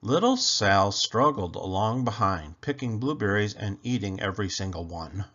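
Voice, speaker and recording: A man reading a children's story aloud in an expressive, deliberate storytelling manner. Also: on the low side, lo-fi sound. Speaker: children's book reader. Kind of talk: scripted storybook narration, read aloud.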